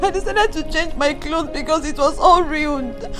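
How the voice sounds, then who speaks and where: A woman's voice: high voice, normal speed, music in the background, natural voice. A woman playing a wronged young Nigerian daughter in an emotional film drama, plaintive and crying. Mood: tearful, plaintive. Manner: plaintive, protesting innocence.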